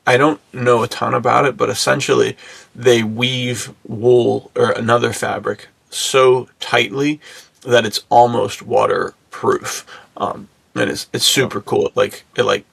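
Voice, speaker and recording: A man's voice: mid-range voice, vocal fry, a bit bright, slightly rough, no echo. A man co-hosting a podcast in casual, slangy, bro-ish chat with an enthusiastic manner. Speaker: podcast co-host. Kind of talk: casual podcast chat about film and menswear.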